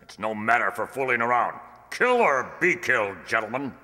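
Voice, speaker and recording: Radio drama general male actor: suspicious, blustering, pompous, animated delivery, echoing hall sound. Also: mid-range voice, normal speed. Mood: grim, hawkish. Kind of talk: comic science-fiction radio play acting. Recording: echoey hall with long reverb.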